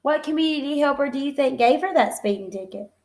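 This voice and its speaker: Female primary teacher, high-pitched voice, expressive children's read-aloud with simple explanatory asides. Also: fast. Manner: simple child-directed phrasing, expressive, encouraging. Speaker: teacher reading a picture book to young children.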